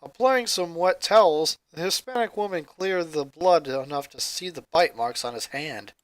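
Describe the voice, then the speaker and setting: A woman's voice: lowish voice, no room sound. A woman narrating a fan fiction story from a script.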